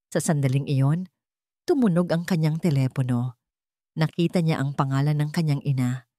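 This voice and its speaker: A woman with a fairly low voice narrates a dramatic romance. Her delivery is scripted storytelling, and the recording is close and dry, free of room sound. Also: dark tone, no room sound.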